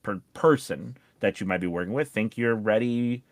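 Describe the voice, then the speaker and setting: A man's voice: on the low side, normal speed, dry recording. A man hosting a casual podcast discussion, talking in a rambling, conversational way and posing questions. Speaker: podcast host and voice-over coach.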